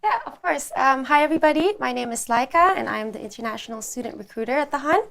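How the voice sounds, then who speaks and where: A woman's voice: high-pitched, animated, fast, vocal fry, a bit bright, no room sound. A woman speaking as a student recruiter, giving orderly, informative explanations on a talk show.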